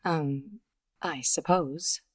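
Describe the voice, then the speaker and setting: An actress playing a guarded, weary 1950s widow in a radio mystery, with a hesitant, melodramatic delivery. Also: female voice, low voice, very slow, husky, exaggerated voice. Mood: weary, reluctant. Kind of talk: 1950s radio drama acting.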